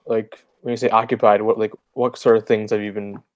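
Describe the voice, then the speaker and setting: A man's voice: lowish voice, level tone, a little fast, dry recording. A man co-hosting a podcast, talking in casual chat. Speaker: podcast co-host.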